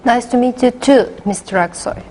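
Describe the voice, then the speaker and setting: A woman's voice: a little high, normal speed, dark tone, a little hiss or hum. A woman taking part in a classroom role-play, speaking simple, careful sentences.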